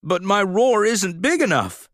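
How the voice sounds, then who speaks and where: A timid toy dinosaur from a children's storybook, acted out by a man. The voice sits high and swings widely, anxious and pleading. The sound is close and dry. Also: very animated, unhurried, a bit bright, no room sound, heavily acted. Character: timid, anxious male toy dinosaur who yearns to roar.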